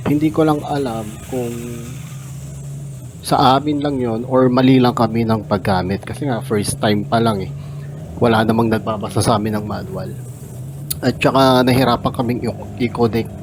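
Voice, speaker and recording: A man's voice: medium pitch, steady tone, moderate pace. Man reviewing casually over vehicle noise. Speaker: motorcycle vlogger reviewing gear. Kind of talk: casual unscripted product review. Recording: clear vehicle noise behind voice.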